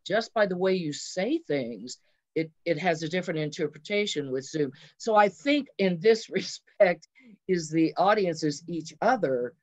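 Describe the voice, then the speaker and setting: A woman's voice: on the low side, animated, measured pace, darkish tone. A woman who teaches theater, explaining things enthusiastically in a casual panel conversation over lo-fi call audio. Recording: dull, lo-fi video call audio.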